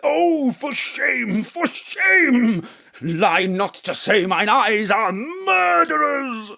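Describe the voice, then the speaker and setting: A pompous stage tragedian, droning and overwrought, big swooping pitch, audio drama. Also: sing-song, extremely slow, creaky, bright, forceful tone, full character voice. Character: pompous, ranting old-school tragedian on stage. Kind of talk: mock Jacobean stage acting.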